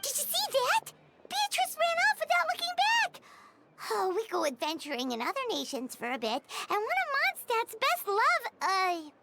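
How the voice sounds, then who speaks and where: A tiny, excitable fairy sidekick from a video game, squeaky and childlike. The voice is extremely high and swings wildly in pitch, over loud background noise. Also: female voice, moderate pace, creaky, hardly any pauses, exaggerated voice. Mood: surprised, curious. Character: small childlike excitable fairy companion, nosy and chatty. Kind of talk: video game character acting.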